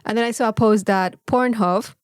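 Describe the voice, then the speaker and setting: A Mexican-accented woman talks casually as a podcast co-host, her voice at an ordinary middle pitch. She rambles informally and swears freely. The sound is dry, with very little room echo. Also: normal speed, clean tone. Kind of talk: casual chat.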